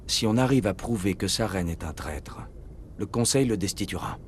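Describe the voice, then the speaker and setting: An actor playing a steady, determined military commander in a video game, speaking in a serious, restrained way. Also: male voice, on the low side, moderate pace, a little hiss or hum, close to an everyday voice. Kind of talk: dramatic video game dialogue.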